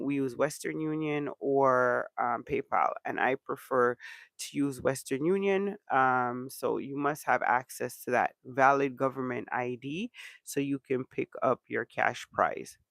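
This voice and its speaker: Congested man with a gravelly voice. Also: medium pitch, a little slow, no room sound. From Canada. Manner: relaxed rambling with many ums. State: congested from allergies. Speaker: channel host. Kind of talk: casual livestream chat.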